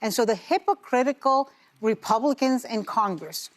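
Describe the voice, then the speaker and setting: A woman's voice: a little high, lively intonation, normal speed. A woman on a daytime talk show panel, arguing with indignant emphasis. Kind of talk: studio panel discussion.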